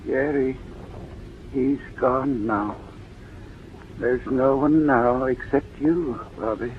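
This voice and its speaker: A man playing a frail, dying old uncle in a 1940s radio drama, halting, weary and resigned. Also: medium pitch, steady tone, close to an everyday voice. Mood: weak, resigned. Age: older. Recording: tinny, hissy old radio recording.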